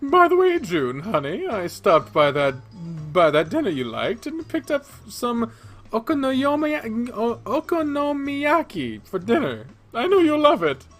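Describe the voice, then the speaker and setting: Guy doing a sugary story mom, way high pitched, fussy and bossy, very sing-song. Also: huge pitch swings, a little slow, fairly bright tone, faint music behind, full character voice. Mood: doting, cheerful. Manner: honeyed, fussing. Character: sweet, doting, firmly bossy middle-aged mother.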